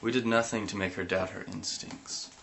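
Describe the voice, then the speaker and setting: A man reading a comic story aloud, animated, breaking into giggles and sudden yelps. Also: low voice, moderate pace, creaky, background noise. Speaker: reader.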